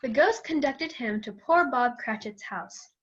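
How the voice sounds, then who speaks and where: A young female student narrates a classic story from a script. Her voice is fairly high with very varied intonation, and the recording sounds slightly muffled and lo-fi. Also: expressive, a little slow. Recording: dull lo-fi recording.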